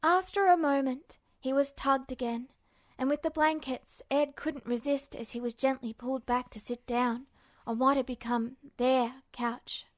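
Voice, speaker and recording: A woman's voice: high voice, steady tone, measured pace, no room sound. A woman narrating a story as a scripted audiobook-style reading. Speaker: podfic narrator.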